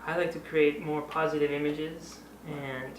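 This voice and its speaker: A man talking reflectively about himself, halting and pausing between short phrases. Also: a little high, flat delivery. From Oregon and Costa Rica. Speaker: artist and educator. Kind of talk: personal introduction, reflective talk. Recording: echoey, noisy room, distant mic.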